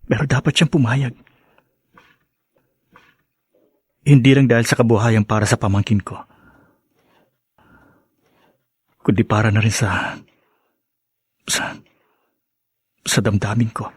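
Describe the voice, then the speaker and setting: A man playing a sincere, gentle and self-deprecating young man in an audio drama, speaking haltingly and from the heart. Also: on the low side, fairly even intonation, breathy edge, no room sound. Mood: yearning. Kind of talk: melodramatic radio-style drama acting.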